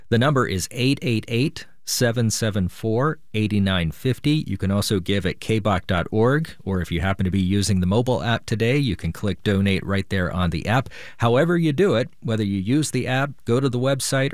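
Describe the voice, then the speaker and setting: A man with a lowish voice lays out a donation match in a persuasive, explaining way. The studio sound is dry, with no room echo. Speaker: radio host. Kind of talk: public radio pledge drive. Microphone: studio microphone.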